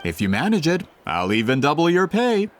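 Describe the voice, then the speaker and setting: Very animated, courteous book merchant. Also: male voice, a little high, fairly quick, a little hiss or hum. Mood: persuasive. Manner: courteous, theatrical salesman. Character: polite, eager male book merchant and publisher. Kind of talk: video game voice acting.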